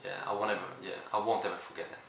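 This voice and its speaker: A man from Florence, telling a personal story in a halting way, searching for words. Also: fairly low pitch, level tone, vocal fry, fairly bright tone, roomy sound, recorded from a distance, a little hiss or hum. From Florence, Italy. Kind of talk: personal story told to camera.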